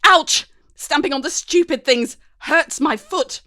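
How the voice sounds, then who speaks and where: Exasperated young woman acted by a female reader, anxious and exclamatory, high-strung storybook drama, dry sound. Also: measured pace, pushed and bright, no echo. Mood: pained, exasperated. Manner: exclamatory, emotional.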